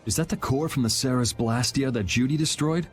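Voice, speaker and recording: A man's voice: medium pitch, normal speed, close to an everyday voice. An actor playing a laid-back, wry young man in a video game cutscene, with music behind. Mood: wry, thoughtful. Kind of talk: English game cutscene voice acting.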